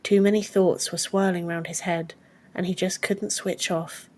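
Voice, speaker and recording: A woman's voice: fairly low pitch, flat delivery, a little slow, darkish tone. A woman reading scripted fiction as an audiobook narrator.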